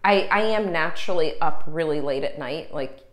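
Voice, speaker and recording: A woman's voice: low voice, moderate pace. A woman co-hosting a podcast, chatting casually and filling her talk with "like" and "um". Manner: chatty, filler-heavy, lots of "like". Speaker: podcast co-host. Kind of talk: casual chat.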